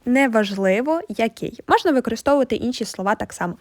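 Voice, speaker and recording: A woman narrates a language lesson. Her voice is on the high side, and she explains phrases as a teacher would. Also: lively intonation, a little fast. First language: Ukrainian. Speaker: French teacher.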